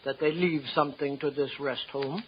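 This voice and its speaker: A man's voice: on the high side, fairly even intonation, normal speed, close to an everyday voice. An actor playing a genteel, placating male doctor in an old radio drama, on a dull lo-fi broadcast with faint hiss. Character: smooth, fussy male country doctor. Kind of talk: 1950s radio drama acting.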